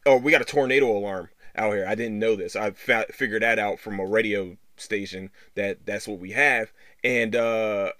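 A man's voice: fairly low pitch, a bit bright, dry recording. Man rambling casually in a dry, close recording. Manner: rambling, halting, with repeated words and frequent swearing. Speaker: podcast host. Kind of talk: casual solo podcast monologue.

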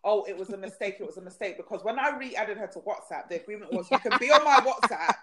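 Brief: young South London woman, podcast guest, blunt and animated storyteller. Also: medium pitch, fast talker, a crowd in the background. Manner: frank, swearing, laughs a lot. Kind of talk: casual chat.

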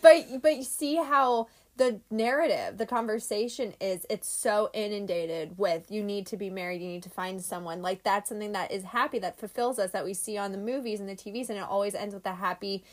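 Chatty woman in her 20s, podcast co-host, animated, says like a lot. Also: mid-range voice, a little fast, few pauses. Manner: chatty, animated, lots of "like" and laughter. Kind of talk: casual chat.

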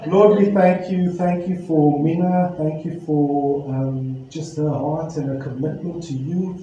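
A male pastor introducing a sermon series and praying, earnest and reflective, pausing with fillers. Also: on the high side, steady tone, dark tone, dull audio, slight background noise. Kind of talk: sermon and prayer. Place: church hall. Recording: very echoey church hall, faint ambience.

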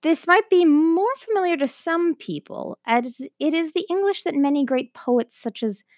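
A woman's voice: high-pitched, lively intonation, moderate pace, darkish tone, no echo, clean studio sound. A woman presenting a scripted educational narration in a conversational way, as the host of a history lesson.

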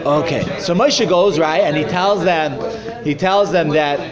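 Male Torah teacher, high voice, walks students through a text with questions; lo-fi, noisy room. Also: measured pace. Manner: explains step by step with rhetorical questions. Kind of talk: informal Torah class. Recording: dull lo-fi recording with loud crowd.

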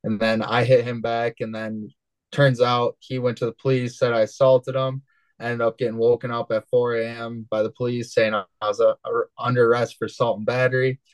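Relaxed young man, dry close sound. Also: medium pitch, normal speed, clear, resonant tone, dry recording, rough recording. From West Michigan, USA. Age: 20s. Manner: relaxed, rambling, many fillers. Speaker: guest, cannabis entrepreneur. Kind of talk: casual interview storytelling.

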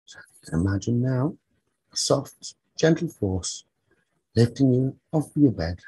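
Very husky, slow man guiding sleep meditation. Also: medium pitch, animated, warm tone, no room sound, low-quality recording. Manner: soothing, short phrases with long pauses. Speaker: meditation teacher leading a guided sleep talk-down.

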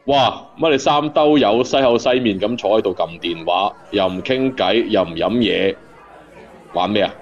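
An actor playing a brash, street-wise bartender in a Cantonese radio drama, with a Hong Kong accent. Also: male voice, lowish voice, moderate pace, dull audio, some chatter behind, lightly acted. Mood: brash, ribbing. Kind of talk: radio drama acting, bar banter.

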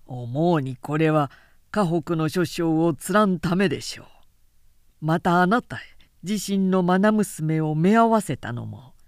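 A woman voicing a cautious male military adviser in a historical audiobook, speaking gravely, formally and deliberately. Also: sits low, expressive, a little mellow, dry recording, studio quality. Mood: grave counsel.

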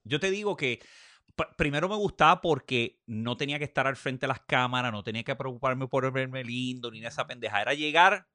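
A man's voice: medium pitch, fairly quick, pushed and bright, no room sound. A man with a Puerto Rican accent chatting casually and informally as a guest, using salty slang. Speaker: interview guest. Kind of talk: casual chat.

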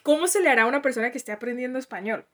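Young mexican woman from the north, casual chat, high voice, laughs a lot. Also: expressive, rapid-fire. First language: Spanish. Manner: chatty, teasing, laughs often. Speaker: co-host, sister.

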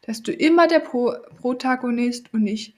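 A woman's voice: a little high, moderate pace, a little mellow. A woman with a cold hosting a podcast, giving an earnest motivational monologue straight to the listener. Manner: earnest, coaching, addresses listener directly. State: has a cold. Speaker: podcast host.

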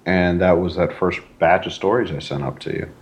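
Male writer as interview guest, deep voice, modest, earnest, conversational delivery. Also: brisk, lo-fi sound. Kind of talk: casual interview chat.

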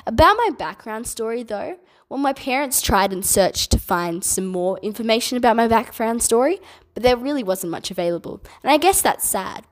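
A woman in her twenties with an Australian accent, a children's entertainer answering kids' questions from home, bubbly and enthusiastic. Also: mid-range voice. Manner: bubbly, enthusiastic, speaks gently to kids.

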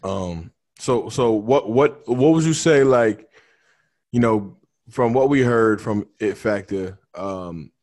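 A man's voice: fairly low pitch, animated, slow pace, darkish tone. A man interviewing a rapper, asking slangy, casual questions full of restarts. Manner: slangy, friendly, stacks hesitant restarts.

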